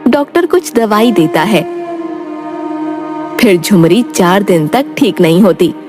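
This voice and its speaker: A woman with a mid-pitched voice tells a scripted children's tale as narrator. Music plays clearly underneath her. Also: lively intonation, takes it slowly, a little mellow.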